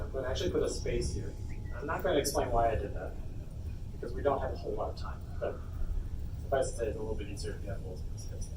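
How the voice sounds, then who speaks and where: Distant, echoey man presenting a software tutorial. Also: medium pitch. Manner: informal, thinking aloud. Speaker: workshop presenter. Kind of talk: live software tutorial, explaining while typing. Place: convention panel room. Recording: distant roomy mic with clear background noise.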